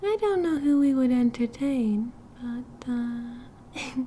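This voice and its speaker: A woman's voice: high-pitched, lively intonation, takes it slowly, mellow, a little hiss or hum. A British woman voicing a cheerful, possessive young yandere kidnapper in an ASMR roleplay, with a cutesy, doting manner. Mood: excited, doting. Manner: giggly, cutesy, doting, breezy. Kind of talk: ASMR roleplay, intimate one-sided conversation. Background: car driving sounds.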